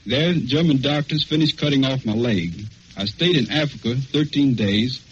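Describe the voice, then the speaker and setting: American soldier on muffled vintage radio. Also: male voice, mid-range voice, moderate pace. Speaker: wounded soldier recounting combat. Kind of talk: scripted first-person radio testimony. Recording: muffled, noisy 1940s radio broadcast.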